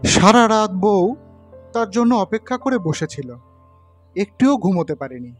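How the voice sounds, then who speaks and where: A man's voice: high-pitched, very animated, unhurried. A man narrating a ghost story as a storyteller, in a dramatic scripted style. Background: background music.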